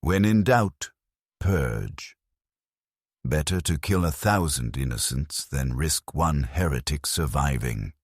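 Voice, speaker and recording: A man's voice: extremely low pitch, animated, slow pace, breathy edge, no echo, clean studio sound. A man giving a grave, solemn first-person dramatic narration from a script.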